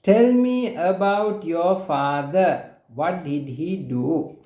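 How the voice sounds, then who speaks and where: A man with a Sri Lankan accent runs a slow English drill. His voice is dark and unhurried. The call sounds echoey. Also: fairly high pitch. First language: Sinhala. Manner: patient, repeats questions, brief praise. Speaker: spoken English teacher. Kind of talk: oral drill over a call.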